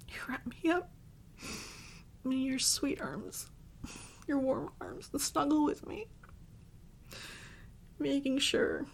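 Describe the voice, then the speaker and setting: Casting: actress as devoted, clingy girlfriend for intimate audio roleplay; higher-pitched breathy voice, tender, often whispering. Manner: tender, slow, halting, soothing endearments. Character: affectionate young girlfriend, doting and clingy, tearful before parting. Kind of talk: intimate roleplay audio, soft ASMR-like acting.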